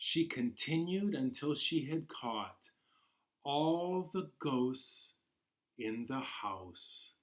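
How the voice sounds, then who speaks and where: A man leads a children's storytime at a very slow, deliberate pace. His voice sits in a middle male range, and he reads expressively, giving phrases room to land for young listeners. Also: medium pitch. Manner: animated, expressive, encouraging toward children. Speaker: library storytime host. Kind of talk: children's storytime, reading a picture book aloud.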